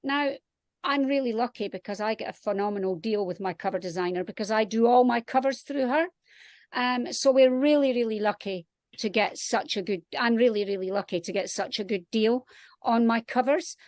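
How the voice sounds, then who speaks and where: Higher-voiced Scottish woman author, chatting. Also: normal speed, a little mellow, no room sound, clean studio sound. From Scotland. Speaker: guest author. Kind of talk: casual panel talk. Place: outdoors.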